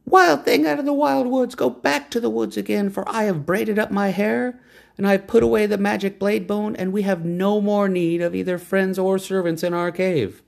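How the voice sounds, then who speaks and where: A man reading a storybook, voicing a wise, proud cave woman in a high, lilting voice with a measured, declaring manner. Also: fairly even intonation, gravelly. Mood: lofty.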